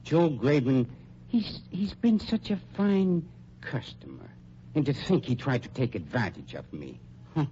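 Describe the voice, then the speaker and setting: An actor playing an unctuous, scheming funeral director in an old-time radio drama, over-polite and mock-hurt in prim, drawn-out phrases. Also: male voice, mid-range voice, sing-song, warm tone. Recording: muffled, noisy old radio recording.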